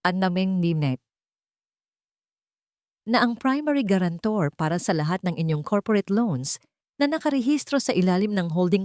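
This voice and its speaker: A woman voicing a calm, formal attorney on a business call, polite and measured, in an audiobook drama. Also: mid-range voice, no echo, studio quality, close to an everyday voice.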